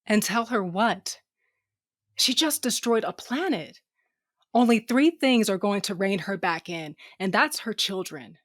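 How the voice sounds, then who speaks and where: Woman acting a tough female space captain, wry, slangy, blunt, medium pitch, dry sound, audio drama. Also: animated, measured pace, no room sound. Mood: blunt, determined. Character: tough, sardonic, foul-mouthed female ship captain with a cybernetic eye. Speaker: narrator and lead character. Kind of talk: first-person audio drama narration and dialogue.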